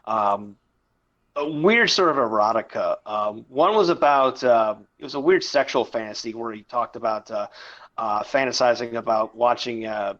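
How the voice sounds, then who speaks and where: A man in his thirties hosting a casual podcast chat, telling a long story in a halting, rambling way. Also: mid-range voice, a bit bright, dull audio. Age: 30s. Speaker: podcast host and publisher.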